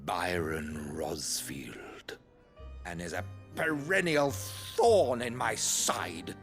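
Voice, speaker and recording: An actor playing a pompous, theatrical lord in a video game cutscene, speaking in a grandiose, declamatory manner. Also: male voice, sits low, animated, extremely slow, a bit bright, soft background music, full character voice. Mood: mock indignation. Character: pompous, theatrical male lord bidding farewell.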